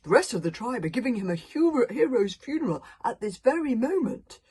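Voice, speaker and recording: A woman voicing a burly, awkward Viking chieftain father from a storybook in a booming, declamatory way. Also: sing-song, brisk, warm tone, slightly rough, exaggerated voice. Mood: awkward, sombre. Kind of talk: storybook character voice.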